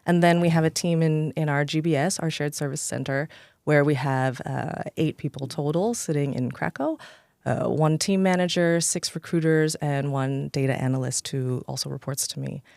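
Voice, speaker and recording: American woman, podcast guest; smooth, crisp voice, dry close sound. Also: sits low, moderate pace, darkish tone, no room sound. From Los Angeles, United States. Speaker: head of talent acquisition, guest. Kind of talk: podcast interview.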